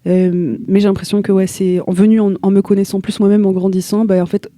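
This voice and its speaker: A young woman from Italy, a singer, chats loosely in an interview. Her voice is very dark and mellow, with a flat, even melody. The sound is close and dry. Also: medium pitch, fairly quick, no echo. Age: young adult. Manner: relaxed, slangy, searching for words. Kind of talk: casual interview chat.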